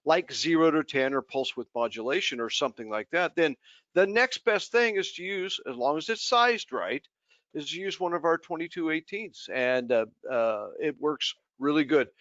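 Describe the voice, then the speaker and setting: A man's voice: fairly high pitch, animated, moderate pace, fairly bright tone, no room sound. A man giving casual technical advice as a co-presenter in a live chat, explaining things in informal, folksy phrasing. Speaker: technical co-presenter, trainer for a pump maker. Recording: dull lo-fi online call audio.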